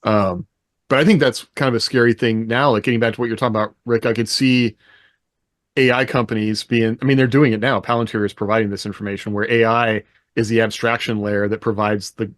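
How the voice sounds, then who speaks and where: A man's voice: on the low side, steady tone, a little fast, dry recording, clean studio sound. A man co-hosting a podcast, explaining and recollecting in a casual, conversational way. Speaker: podcast co-host.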